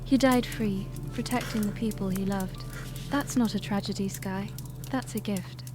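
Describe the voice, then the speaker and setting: A woman playing a gentle, consoling young woman in an audio drama, soft and earnest. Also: medium pitch, moderate pace, over music, lightly acted. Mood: tender. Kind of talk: fan audio drama acting.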